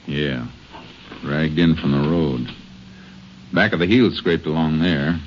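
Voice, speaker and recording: An actor with an American accent playing a steady, matter-of-fact Texas Ranger in 1950s radio drama style. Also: male voice, a bass voice, takes it slowly, slight background noise. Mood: businesslike, thoughtful. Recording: dull vintage radio recording with music.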